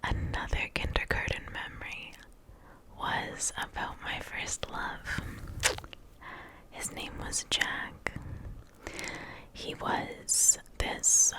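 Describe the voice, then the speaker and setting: Young woman whispering a rambling story. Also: rough recording. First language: Portuguese. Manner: rambling, self-mocking whisper. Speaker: ASMR creator.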